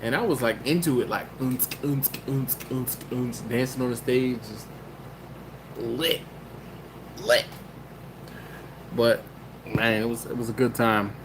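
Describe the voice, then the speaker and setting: A man's voice: medium pitch, steady tone, slow pace, pauses often, noisy background. Chatty man hosting a livestream. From Maryland, US. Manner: rambling, laughs often, self-interrupting. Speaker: livestream host reading chat. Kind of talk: casual live chat.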